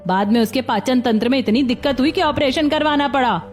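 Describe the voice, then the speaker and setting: A woman's voice: high-pitched, fairly quick, soft background music, lightly acted. Woman playing a cautious, bossy old Indian grandmother. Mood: worried. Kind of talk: Hindi cartoon story acting.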